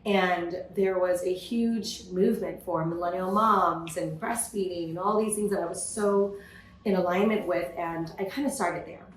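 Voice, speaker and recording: Low-voiced woman telling stories in an interview. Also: moderate pace, reverberant, low-quality recording. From California, USA. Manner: lively storytelling with frequent "you know" fillers. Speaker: podcast guest, entrepreneur. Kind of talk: casual interview conversation.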